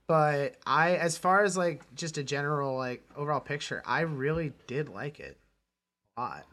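A man hosting a casual film discussion, halting and often interrupting himself as he talks. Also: fairly high pitch, expressive, sharp tone. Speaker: podcast host.

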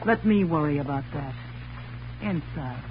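An actress playing a defiant, hard-boiled woman with sharp retorts in a 1940s radio crime drama. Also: sits low, expressive, measured pace, slight background noise. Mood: defiant, threatening. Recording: muffled, humming old radio recording.

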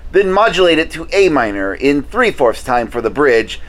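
A man's voice: fairly high pitch, sing-song, moderate pace, a bit bright. A man playing a theatrical mad-doctor horror movie host from another universe in a comedy segment. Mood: joking.

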